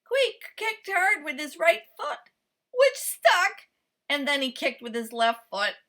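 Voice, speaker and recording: Woman reading a kids book out loud, bright and slow, super lively. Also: sits high. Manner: expressive, animated storytelling. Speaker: storyteller. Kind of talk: picture book read-aloud.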